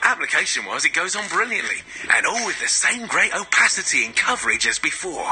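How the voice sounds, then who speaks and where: A man's voice: a little high, sing-song, brisk, background noise. A man playing an enthusiastic painter praising his materials in a radio advert.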